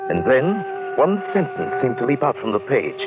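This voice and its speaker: An actor playing a troubled, inquisitive gentleman in an old-time radio drama, speaking in an earnest, literate, theatrical way. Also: medium pitch, very animated, normal speed, narrow, tinny audio, over loud music, natural voice. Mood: awed, dramatic. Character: troubled, inquisitive gentleman narrating an eerie tale.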